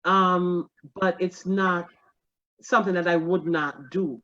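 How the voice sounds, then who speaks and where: A middle-aged woman tells stories from her comedy career in an animated, self-mocking way, laughing often. Her voice sits a little low. The recording sounds dull and lo-fi. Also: takes it slowly. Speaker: stand-up comedian guest. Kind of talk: casual interview storytelling.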